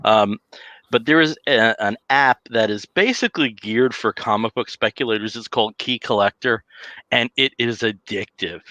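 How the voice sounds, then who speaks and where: A man with a New Jersey accent co-hosting a casual podcast, talkative and quick to joke and laugh. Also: medium pitch, sharp tone, no echo, dull audio. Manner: jokey, talkative, laughs often. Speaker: podcast co-host. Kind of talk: casual chat. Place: home room, dim lighting.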